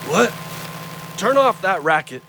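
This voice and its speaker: An actor playing a troubled, lonely man, gruff yet tender, in an audio play. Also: high-pitched, very animated, slow pace, very noisy, full character voice. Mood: irritated, agitated. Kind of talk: audio drama acting.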